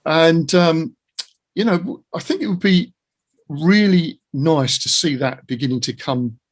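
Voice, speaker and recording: A middle-aged man with a husky, gravelly voice talking earnestly and reflectively in a casual interview, offering his opinions. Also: on the high side, measured pace.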